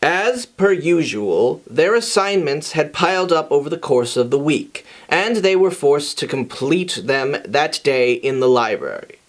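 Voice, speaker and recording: Man with a slightly high voice reading aloud. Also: expressive, a little slow, few pauses. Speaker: fan fiction reader and channel host. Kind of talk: informal scripted story narration.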